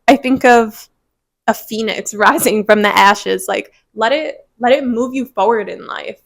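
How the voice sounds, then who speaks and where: A woman's voice: medium pitch, gravelly, a bit bright, no echo. A woman hosting a motivational podcast, talking earnestly and encouragingly straight to her listeners, a little congested. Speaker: podcast host.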